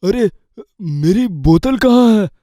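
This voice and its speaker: A man's voice: high voice, expressive, takes it slowly, dark tone, dry recording, clean studio sound. A man playing a tipsy, whiny, easily scared young man in a horror audio story, exclaiming plaintively. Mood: whiny, annoyed.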